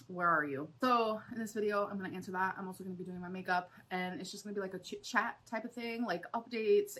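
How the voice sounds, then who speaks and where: A woman's voice: medium pitch, a bit bright. A woman vlogger chatting casually while she does her makeup, rambling and full of likes. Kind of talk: casual makeup chat vlog.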